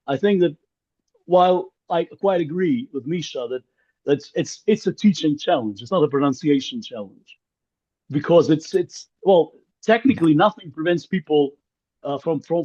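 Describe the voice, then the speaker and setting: A man's voice: fairly high pitch, dark tone. Hesitant man on a muffled call. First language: Ukrainian. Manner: hesitant, many restarts and repeated words. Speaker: workshop participant. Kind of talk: discussion on a video call. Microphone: video call.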